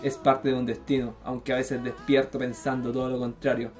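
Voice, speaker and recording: A man's voice: mid-range voice, little pitch movement, brisk, a little mellow, soft background music, natural voice. A young Chilean man acting a needy, boastful, troubled teenage boy in a radio theatre piece. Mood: brooding. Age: young adult. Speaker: student performer.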